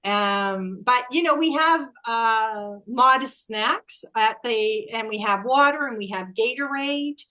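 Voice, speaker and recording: Chatty woman on a video call, higher voice, laughs between lines. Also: slow pace, hardly any pauses, sharp tone, rough recording. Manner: chatty, laughs often, restarts phrases. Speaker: tour organizer hosting a group call. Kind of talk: casual group video call.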